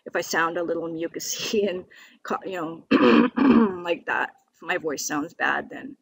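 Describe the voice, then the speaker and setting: Gravelly-voiced woman with a cold. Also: lowish voice, expressive, measured pace, low-quality recording. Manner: hesitant, many fillers and restarts. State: scratchy voice from a cold. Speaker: independent physics video maker. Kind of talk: walking through equations on screen.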